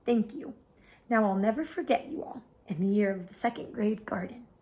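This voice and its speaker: A woman voicing a patient, encouraging second-grade teacher with a gentle, instructive manner in a storybook. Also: mid-range voice, moderate pace, natural voice. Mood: approving.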